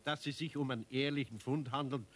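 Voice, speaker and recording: A man's voice: mid-range voice, fairly even intonation, fairly quick, fairly bright tone, close to an everyday voice. An actor playing a kindly, upright, middle-aged Bavarian master craftsman, plain-spoken, in a 1970s children's radio play. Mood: indignant.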